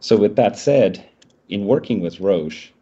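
A middle-aged man giving a business pitch on a video call, measured, with fillers and restarts. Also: lively intonation, warm tone, husky. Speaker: startup presenter. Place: indoor room. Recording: muffled video call audio.

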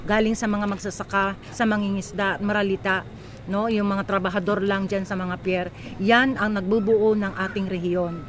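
A woman's voice: mid-range voice, flat delivery, moderate pace. An older woman, speaking as an activist spokesperson in an impassioned press interview into a handheld microphone. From Philippines. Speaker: activist, disaster survivor spokesperson. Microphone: handheld reporter microphone. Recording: street crowd and traffic noise.

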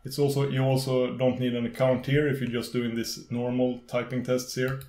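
Swedish guy, first language swedish, chatty screen tutorial, lots of uh and um, middle pitch, roomy echo. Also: level tone, moderate pace, darkish tone. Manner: rambling, many fillers and restarts. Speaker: tech video host. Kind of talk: casual screen-recorded tutorial.

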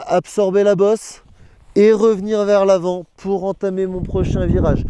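A man in his thirties teaches skiing on a snowy mountain slope, walking through each movement step by step in the first person. His voice is very dark and mellow yet smooth and clear, and sits a little high for a man. The sound is close and dry. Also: dry recording. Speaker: ski instructor. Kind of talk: instructional explanation.

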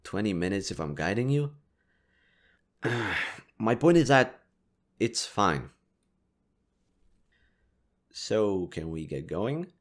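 Casting: male actor as gentle, considerate young man for listener roleplay, low register, very expressive swings in pitch. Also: slow pace, long pauses. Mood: considerate, explaining. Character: kind, reassuring young male stranger helping a distressed girl. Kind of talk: intimate audio roleplay addressed to the listener.

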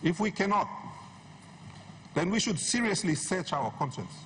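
High-pitched, emphatic politician man. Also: lively intonation, normal speed, a bit bright. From Papua New Guinea. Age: middle-aged. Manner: emphatic, rhetorical, repeats phrases for effect. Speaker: member of parliament. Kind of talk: impassioned parliamentary speech. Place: large wood-panelled parliament chamber. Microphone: desk gooseneck microphone, standing. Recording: noisy parliament chamber microphone.

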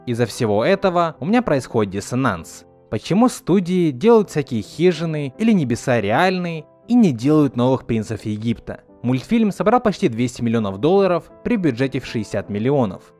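A man's voice: medium pitch, big pitch swings, brisk, hardly any pauses, darkish tone. A man narrating a scripted video essay as a reviewer, speaking in an earnest, opinionated way. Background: background music.

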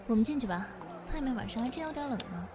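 Girl voicing sweet polite young gf, really high voice, audio drama, music behind. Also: animated, racing, lightly acted. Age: young adult. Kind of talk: Chinese audio drama acting. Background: background music.

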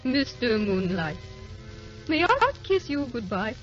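A gentle, yearning wife who sounds forever young, in a vintage radio drama. Her voice is fairly high. The recording is old and dull, with faint music. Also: sing-song, slow. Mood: pleading, wistful. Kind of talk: 1930s radio drama acting.

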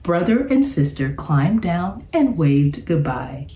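A middle-aged woman reads to children like a teacher at story time. Her voice is fairly low and swings widely in pitch as she enunciates at an unhurried pace. The room adds some echo. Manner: expressive, deliberate enunciation, addressing young listeners. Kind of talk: children's read-aloud storytelling. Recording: roomy, echoey sound.

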